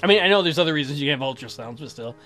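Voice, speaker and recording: Lively, joking male let's play host. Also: fairly high pitch, racing. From Louisiana, United States. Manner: joking, rambling. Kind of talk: casual comic game commentary. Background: music.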